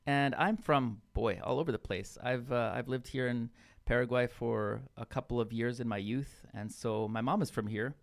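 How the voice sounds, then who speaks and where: A man in casual conversation as a radio show co-host, his voice sitting at an ordinary middle pitch. He talks loosely and chattily, with plenty of fillers and restarts. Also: moderate pace, gravelly. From Brazil. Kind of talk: casual chat.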